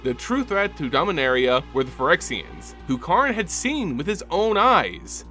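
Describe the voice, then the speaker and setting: Man, lore narrator, dramatic storytelling, fairly high pitch, faint music bed. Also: big pitch swings, normal speed, pushed and bright.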